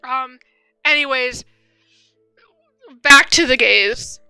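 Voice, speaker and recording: Very bright, high-voiced woman streamer. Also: slow pace, gravelly, clean tone, dry recording, soft background music, rough recording. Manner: rambling, animated fan reactions. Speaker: game streamer. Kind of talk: casual live stream commentary.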